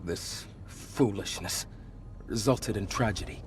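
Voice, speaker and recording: An actor playing an ancient monster leader who was once human, speaking gravely, with measured, earnest persuasion in a video game cutscene. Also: male voice, on the low side, slight background noise. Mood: regretful, solemn. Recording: background music under the voice.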